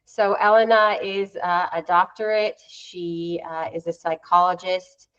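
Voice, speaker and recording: Low-voiced woman hosting, slightly dull audio. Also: fairly even intonation, unhurried, a bit bright, clear, resonant tone. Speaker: interview host. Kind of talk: conversational interview introduction.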